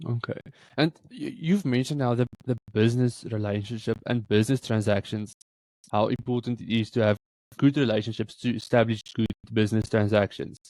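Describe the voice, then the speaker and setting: A man with an Afrikaans South African accent, interviewing a guest with halting questions full of fillers. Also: mid-range voice, fairly even intonation, a little mellow, no echo, poor audio. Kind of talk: interview conversation.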